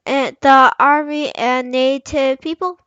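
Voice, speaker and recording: High-pitched young girl reading slowly. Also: steady tone, bright, forceful tone, no echo, dull audio. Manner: stumbling over words, sometimes playful sing-song. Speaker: young reader practising aloud. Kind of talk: halting read-aloud of a children's history book.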